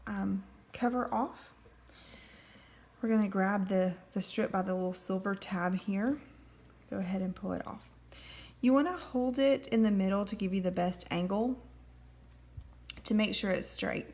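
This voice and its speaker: A woman's voice: medium pitch, darkish tone. A woman giving a casual step-by-step manicure tutorial, explaining each step with frequent ums. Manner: friendly step-by-step instructions with frequent fillers. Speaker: nail product demonstrator.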